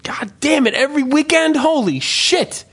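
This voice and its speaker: A man with a notably high-pitched voice trades casual comic banter. He needles whoever he is talking to with mock condescension and swears freely. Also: expressive, moderate pace, a bit bright. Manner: teasing, mock-condescending, profane.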